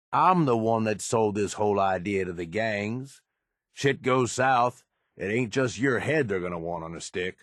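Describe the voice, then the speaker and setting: An actor playing a tough, cynical middle-aged raider henchman in a video game, with a gravelly voice and rough, folksy, sardonic slang. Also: fairly low pitch, fairly bright tone, dry recording, clean studio sound. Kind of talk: video game character voice lines.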